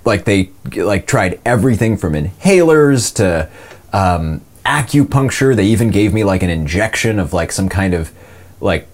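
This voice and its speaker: A man's voice: lowish voice, animated, moderate pace. A man in his late twenties with an American accent, telling stories casually in a rambling, self-deprecating way. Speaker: podcast host and voice actor. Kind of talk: casual conversational storytelling.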